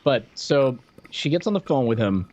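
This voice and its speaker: A man's voice: mid-range voice, big pitch swings, normal speed, a little mellow. An American man co-hosting a podcast, talking through a TV show in an informal, hedging, conversational way. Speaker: podcast co-host. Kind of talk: casual chat reviewing a TV show.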